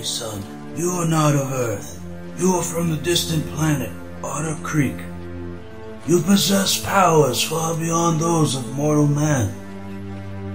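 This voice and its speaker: Portentous alien patriarch, acted by a man, slow and echoing, music underneath, comic-book parody. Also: a little high. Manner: grandiose, portentous. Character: solemn, ponderous alien father speaking from a glowing crystal. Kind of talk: parody of epic film speech, comic dub. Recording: echoing voice over loud music.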